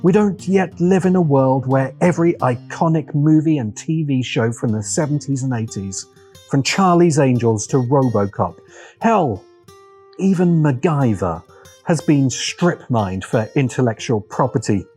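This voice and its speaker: A man narrating a scripted video essay as host, in a deliberate, wry, opinionated manner with dramatic pauses and emphasis. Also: medium pitch, animated, mellow, slightly rough, soft background music.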